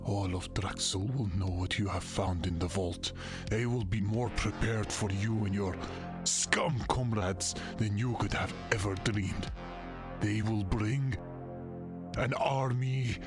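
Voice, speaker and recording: A captive senator played by a man speaks slowly in a lowish voice, proud and scornful. It is audio-drama acting with prominent music behind him. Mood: defiant. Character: defiant captive male senator, indignant and proud. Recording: loud music under the voice.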